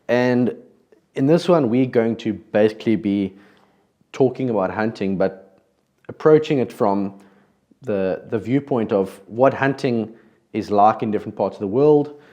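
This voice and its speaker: A man's voice: fairly low pitch, unhurried, mellow, lo-fi sound. A man from South Africa with a South African accent, hosting a podcast in a casual, conversational way with frequent restarts. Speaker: podcast host.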